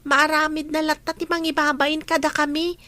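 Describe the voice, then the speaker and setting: Actress doing stubborn emotional ilocano lady in a radio drama, high n bright voice. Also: level tone, normal speed, no room sound. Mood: defiant.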